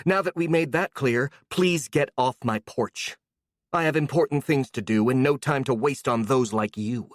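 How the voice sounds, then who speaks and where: A storyteller voicing a hot-tempered young woman who shouts and sneers with sarcasm. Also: rumbling low, normal speed, dry recording, clean studio sound, pitched above the performer's own voice. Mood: sarcastic.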